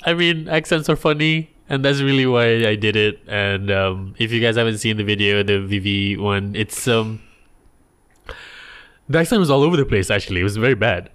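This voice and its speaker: Young malaysian guy on a podcast, teasing, laughs a lot, lively chatty. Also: on the low side, normal speed, clear, resonant tone. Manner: laughs often, animated and teasing. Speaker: podcast co-host. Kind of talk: casual chat.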